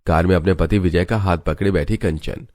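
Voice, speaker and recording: A man's voice: low-pitched, steady tone, brisk, a little mellow, no room sound. A man reading scripted moral-story narration as a storyteller.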